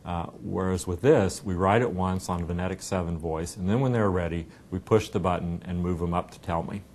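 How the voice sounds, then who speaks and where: Very deep-voiced American man demoing software. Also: brisk, slight background noise. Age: middle-aged. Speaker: software company representative giving a product demo. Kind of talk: conversational product demonstration. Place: indoor meeting room. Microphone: lapel mic.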